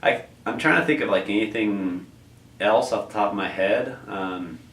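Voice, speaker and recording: A man's voice: on the low side, moderate pace, a bit bright. A man coordinating a tutoring program, explaining the process in a rambling way with many fillers and restarts. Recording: echoey room, distant mic, faint noise.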